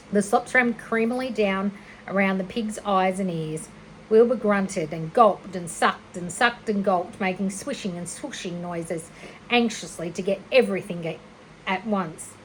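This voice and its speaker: A woman reading a children's story aloud like a teacher, expressive and a little unpolished, with small stumbles and self-corrections. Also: medium pitch, a little hiss or hum, low-quality recording. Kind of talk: children's storybook read-aloud, narration with character voices.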